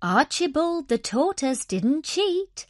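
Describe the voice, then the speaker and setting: A woman voicing a wise old male owl in a children's story, proclaiming in a measured, fair-minded way. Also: sits high, big pitch swings, dry recording, clean studio sound, pitched above the performer's own voice, heavily acted. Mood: firm, fair.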